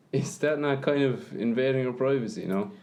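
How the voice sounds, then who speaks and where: An Irish man playing a mild, gently disapproving character in casual radio drama dialogue. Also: mid-range voice, normal speed, natural voice. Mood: mild, resigned.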